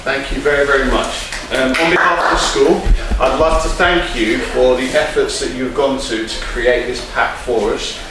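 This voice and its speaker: A man's voice: medium pitch, fairly even intonation, moderate pace, few pauses, over music. A man giving a formal thank-you speech as a school representative. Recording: very echoey room, distant mic.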